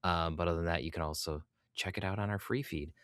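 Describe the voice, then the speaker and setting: A man's voice: low voice, steady tone, fast talker, fairly bright tone, no room sound, studio quality. A man hosting a podcast interview in a casual, conversational style.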